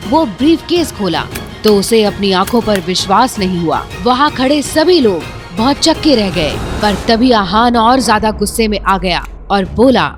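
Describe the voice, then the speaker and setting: A woman's voice: medium pitch, expressive, normal speed, music in the background. A woman narrating a scripted audio drama in a dramatic storytelling manner.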